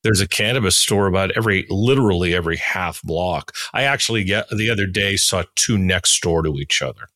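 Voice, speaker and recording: A man's voice: low-pitched, brisk, hardly any pauses, sharp tone, no echo. A man in his sixties hosting a conversational interview podcast, giving his opinions with emphasis. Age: 60s.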